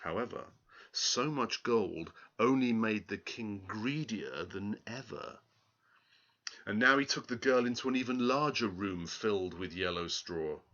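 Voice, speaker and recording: A man telling a fairy tale aloud in a theatrical, deliberate, expressive way. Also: on the low side, highs cut off. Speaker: storyteller.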